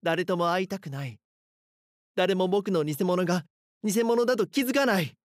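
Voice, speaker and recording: An actor playing a frightened schoolboy who narrates a horror audio drama in an earnest, dramatic way. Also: male voice, high voice, normal speed, no echo, studio quality, close to an everyday voice. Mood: panicked. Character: frightened schoolboy haunted by his doppelganger. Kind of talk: first-person scripted horror narration.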